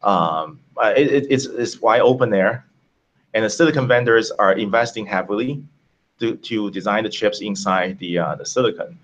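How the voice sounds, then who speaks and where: A man from Taiwan, a tech company founder, answering interview questions over a video call in a halting way with many fillers. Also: fairly low pitch, dull audio.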